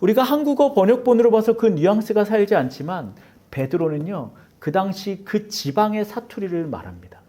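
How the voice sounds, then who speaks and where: A man's voice: sits high, very animated, normal speed, hardly any pauses, mellow. A man from Seoul preaching a sermon as pastor of his church. He preaches dramatically, acting out quoted voices and sometimes dropping to a near whisper. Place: church sanctuary.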